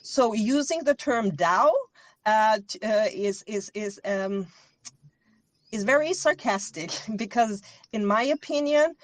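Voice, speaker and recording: A woman's voice: on the high side, lively intonation, slow, no room sound. Woman, interview guest, earnest, explanatory, self-correcting, dry sound. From Austria. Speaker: guest expert. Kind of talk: interview answers, explaining at length.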